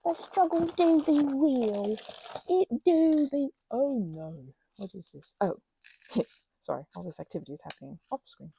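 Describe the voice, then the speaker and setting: A woman talks her way through a crafting session at home, her voice mellow with a gravelly edge. She drifts from hushed whispers to animated, higher bursts and pokes fun at herself. A faint hiss sits under the recording. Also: normal speed, low-quality recording. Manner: chatty, self-deprecating, drifting between whispers and bursts of talk. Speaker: crafting ASMR creator. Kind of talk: rambling craft chat while working.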